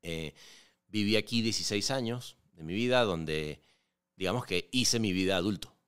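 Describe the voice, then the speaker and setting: A Venezuelan man in his forties talks easily as an interview guest. His voice sits very low and sounds smooth and clear. He thinks aloud, with restarts and a frequent "¿no?". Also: moderate pace. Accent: Venezuelan Spanish. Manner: relaxed, many fillers and restarts, frequent rhetorical "¿no?". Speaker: podcast guest, filmmaker. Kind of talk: casual interview conversation.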